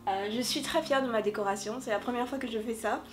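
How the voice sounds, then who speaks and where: Upbeat woman book reviewer with a slightly high voice, talking casually to camera with lots of little pauses. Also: slight background noise. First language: French. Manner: chatty and upbeat, many filler pauses. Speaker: book vlogger and fantasy author. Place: room decorated for Halloween.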